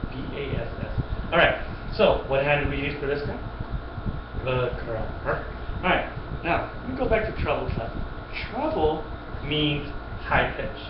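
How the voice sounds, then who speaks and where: A man's voice: on the high side, expressive, normal speed, background noise. Patient man teaching piano over webcam. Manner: patient, encouraging, repeats things and praises often. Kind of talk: one-on-one children's music lesson. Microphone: webcam from a distance. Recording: echoey room, distant dull webcam mic, music.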